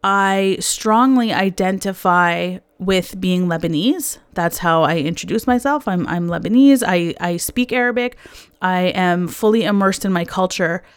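A woman talks slowly and earnestly in a candid, confessional podcast monologue. Her voice is smooth and clear, sitting somewhat low for a woman. The sound is close and dry, with no room echo. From Canada. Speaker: podcast host. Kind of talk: personal reflective monologue.

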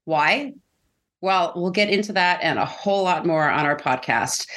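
Middle-aged female podcast co-host, slightly low-pitched voice, casual conversational delivery. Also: expressive, normal speed, a bit bright.

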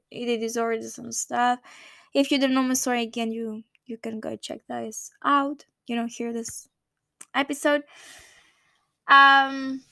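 Bright, high-voiced young woman rambling. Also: no echo, studio quality. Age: 20s. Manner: rambling, self-correcting, frank with swearing and laughs. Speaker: podcast host. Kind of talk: casual personal monologue.

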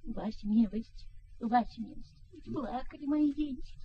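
A woman's voice: on the high side, unhurried, slight background noise, lightly acted. An actress playing a money-grubbing peasant woman who whines and pleads tearfully in a radio play. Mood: indignant, whining. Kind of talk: theatrical radio play acting. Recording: dull old radio recording.

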